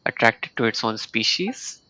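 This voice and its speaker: A man's voice: lowish voice, big pitch swings, unhurried, sharp tone, no echo, poor audio. A man with an Indian accent giving casual, playful, reactive live commentary as he streams a game.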